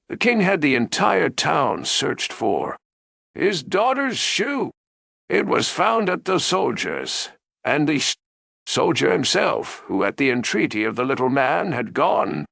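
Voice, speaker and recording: A man reads a fairy tale for bedtime in a mid-pitched voice that moves up and down a great deal. His delivery is slow and deliberate, with plenty of gravelly creak. The sound is dry and close. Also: fairly bright tone, dry recording. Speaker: storyteller.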